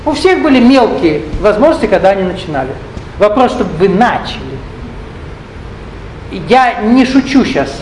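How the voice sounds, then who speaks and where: A man with a notably high voice teaches a live audience, drifting between his points, joking aside and putting questions to the room. The recording is echoey, with a faint hum of the hall behind him. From Russian-speaking, not Ukrainian. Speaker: spiritual teacher. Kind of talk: lecture to an audience.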